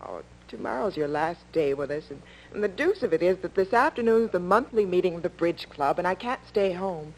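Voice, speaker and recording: An actress playing a fussy, possessive married housewife and hostess in a 1950s style radio soap, on a humming old recording. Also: female voice, lowish voice, expressive, a little fast, low-quality recording. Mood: worried, snappish.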